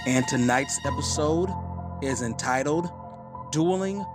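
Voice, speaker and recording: A man's voice: on the high side, measured pace. A man hosting a podcast, giving a semi-scripted introduction in short, separated phrases. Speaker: podcast host. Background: music bed.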